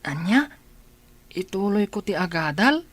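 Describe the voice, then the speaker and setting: A man's voice: sits high, very animated, a little slow, fairly bright tone, exaggerated voice. An actor with a Filipino accent playing a stubborn young male porter in a radio drama. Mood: defiant.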